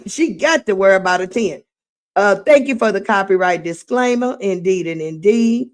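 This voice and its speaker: A middle-aged woman with a slightly raspy voice, hosting a casual late-night livestream and reading comments aloud in a sassy way. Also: mid-range voice, animated, moderate pace. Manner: sassy, drawling, reads chat aloud. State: voice getting raspy. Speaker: livestream host.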